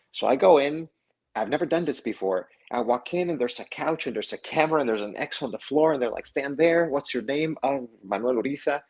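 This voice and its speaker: A man from Mexico speaks as an actor guest in a relaxed interview. His voice has a husky edge. He tells stories with energy and acts out the voices of the people he quotes. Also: mid-range voice. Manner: animated storyteller who acts out quoted voices, laughs often. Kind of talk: casual interview storytelling.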